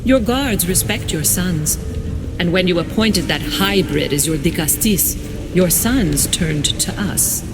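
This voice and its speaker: A woman playing a cold, scheming warmonger goddess in a video game, condescending and disdainful. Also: on the low side, animated, slow. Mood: cold, scornful. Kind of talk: dramatic video game acting. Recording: music behind the voice.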